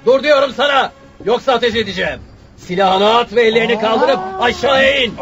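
A man's voice: very high-pitched, fairly quick, muffled recording, loud music behind the voice. An actor playing a commanding, threatening policeman in a radio drama, barking orders.

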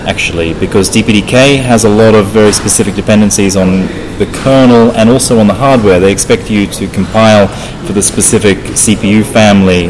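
A man answering technical questions as an interview guest in a measured, modest and thoughtful conversational way. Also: sits low, background noise. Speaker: interview guest, software developer. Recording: live recording with crowd chatter behind. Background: crowd chatter.

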